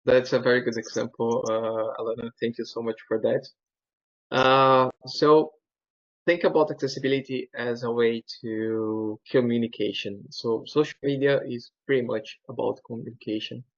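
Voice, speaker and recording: Brazilian-accented male presenter, non-native speaker, halting delivery with lots of fillers, dry muffled video call sound. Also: mid-range voice, steady tone, dry recording. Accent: Brazilian Portuguese. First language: Portuguese. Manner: halting, many fillers and restarts. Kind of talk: webinar presentation.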